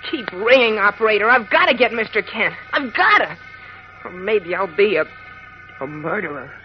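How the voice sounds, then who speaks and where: A man's voice: high-pitched, expressive, normal speed, dull audio, soft background music. An actor playing an anxious, guilt-stricken, polite teenage boy in 1940s radio style, hesitant and pleading, on an old noisy recording. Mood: desperate, guilty.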